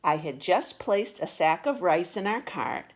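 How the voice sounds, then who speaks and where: Unhurried woman reading a children's storybook. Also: fairly low pitch. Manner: expressive, deliberate storytelling delivery. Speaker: storybook reader. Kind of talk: read-aloud children's picture book narration.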